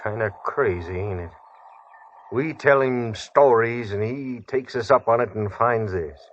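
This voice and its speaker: A man's voice: mid-range voice, measured pace, close to an everyday voice. A man playing a sly, greedy frontier prospector with a folksy American accent and an insinuating manner in a radio western. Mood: sly, resentful. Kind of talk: old-time radio western acting. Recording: dull, noisy old radio recording.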